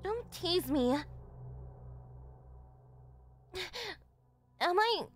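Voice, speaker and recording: A woman's voice: extremely high pitch, sing-song, unhurried, slight background noise, pitched above the performer's own voice, heavily acted. A woman voicing a shy, flustered tsundere young girlfriend in an anime-style ASMR roleplay, defensive and stammering, with a whiny edge. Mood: embarrassed tsundere.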